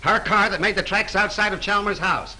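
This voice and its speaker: Hard-nosed cop, bright sharp voice, slightly high, old hissy film sound. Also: male voice, a little fast. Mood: gruff. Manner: blunt, bullying interrogator. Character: tough, pushy male police detective. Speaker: police detective. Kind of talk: 1930s film acting. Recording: faint hiss of 1930s film soundtrack.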